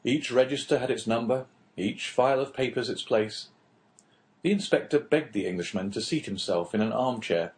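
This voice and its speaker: A man reading a literary novel as an audiobook narrator, in measured, clearly phrased storytelling. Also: fairly low pitch, darkish tone.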